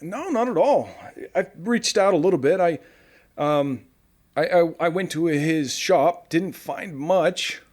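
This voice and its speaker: A man's voice: on the high side, lively intonation, unhurried, a little mellow. A man playing a chatty, stammering, friendly radio host in a post-apocalyptic audio drama. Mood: nervous, awkward. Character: nervous, friendly male radio show host after the apocalypse.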